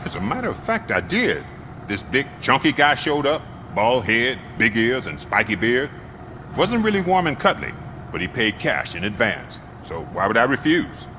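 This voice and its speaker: A man's voice: medium pitch, huge pitch swings, normal speed, noisy background. A man playing a wisecracking, talkative car mechanic in a video game, casual and wry. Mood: chatty, wry.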